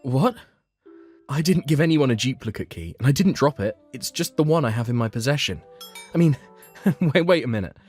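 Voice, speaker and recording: A man's voice: mid-range voice, animated, brisk, breathy edge, soft background music. A man playing an earnest, anxious young office worker who narrates his own story in a manga dub. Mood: uneasy, bewildered.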